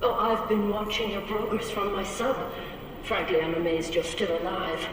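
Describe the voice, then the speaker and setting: An actress playing a smug, taunting villainess in a video game, mocking and haughty, in a noisy, echoing, distant recording. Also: female voice, medium pitch, moderate pace, close to an everyday voice. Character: smug, taunting villainess, a cold scientist mother. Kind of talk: dramatic video game cutscene acting.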